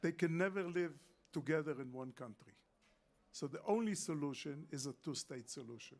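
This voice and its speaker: A man's voice: on the high side, expressive, normal speed. Insistent older man. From Israel. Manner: emphatic, insistent, repeats points. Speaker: panel guest. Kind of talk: panel discussion.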